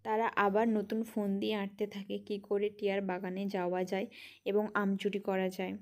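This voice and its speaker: A woman's voice: medium pitch, monotone, fairly quick. A woman reading scripted narration for a children's cartoon story.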